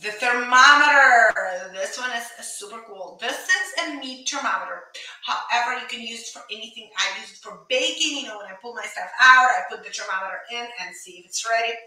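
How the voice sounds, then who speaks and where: A chatty woman in her thirties hosts a live shopping stream and talks eagerly to her viewers. Her voice is bright and a little high. It rings through an echoey small room, picked up from across the desk. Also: a little fast. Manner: chatty, excited, addresses viewers directly. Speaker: livestream shopping host. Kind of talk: casual live stream chat. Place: small home office at a desk. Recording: echoey room, mic far off.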